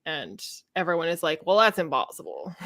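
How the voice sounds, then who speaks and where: A woman co-hosting a podcast, talking casually about books and retelling things in an informal, expressive way. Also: lowish voice, normal speed, no echo, clean studio sound. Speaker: podcast co-host. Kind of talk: casual book discussion chat.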